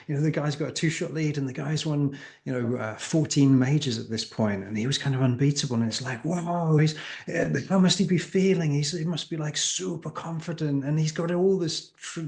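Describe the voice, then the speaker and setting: A man with a creaky voice speaking as an interview guest and coach, explaining emphatically with rhetorical questions and acted-out examples. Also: a little high. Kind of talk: animated interview conversation, explaining.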